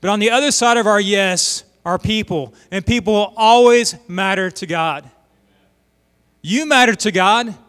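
A middle-aged man from Oklahoma preaching a sermon as a visiting missionary pastor, telling stories in an animated way. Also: high-pitched, unhurried, clear, resonant tone. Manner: animated storytelling with voiced asides. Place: church sanctuary. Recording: long hall reverb.

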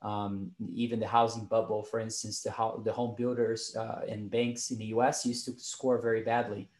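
A young man from Brazil, a native Portuguese speaker, answering interview questions in a halting way with many fillers. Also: fairly low pitch, little pitch movement, a little mellow, roomy sound. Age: young adult. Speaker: podcast guest.

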